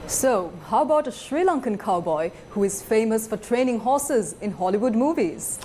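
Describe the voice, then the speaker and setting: A woman news anchor reading a script in a crisp formal manner. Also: on the high side, expressive, normal speed, dark tone, slight background noise. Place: TV news studio.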